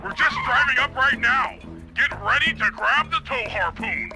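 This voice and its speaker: A man's voice: very high-pitched, big pitch swings, moderate pace, creaky, heavily acted. A man voicing an eager, simple, big-hearted getaway driver sidekick in a video game. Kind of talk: video game cutscene acting. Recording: loud background music.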